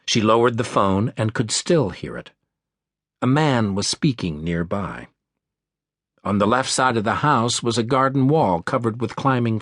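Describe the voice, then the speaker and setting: A man's voice: fairly low pitch, no echo. A man reading a thriller audiobook as scripted narration, close on a studio microphone. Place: dry studio.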